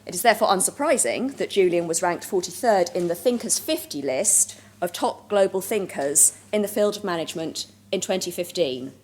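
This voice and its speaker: A woman hosting a conference, formally reading a speaker's introduction in a measured way. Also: on the low side, expressive. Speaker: event host introducing a speaker.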